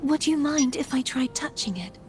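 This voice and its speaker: A gentle young maiden from a video game, with an air of mystery and searching. Her phrasing is polite, measured and slightly formal. Clear music plays underneath. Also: sits high, expressive. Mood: troubled, solemn. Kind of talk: scripted video game voice acting.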